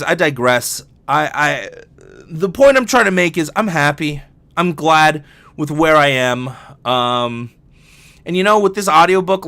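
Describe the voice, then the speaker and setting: A man's voice: fairly high pitch, pushed and bright. A man hosting a casual solo podcast, talking in a rambling, jokey, self-deprecating way with some swearing. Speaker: podcast host and professional voice actor.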